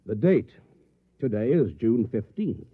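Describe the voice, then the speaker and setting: A man's voice: mid-range voice, animated, a little slow, slightly rough. An actor playing a bewildered, skeptical everyman, questioning and dramatic in the style of 1950s radio drama. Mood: puzzled. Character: bewildered, skeptical married everyman drawn into mystery. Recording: muffled old radio recording.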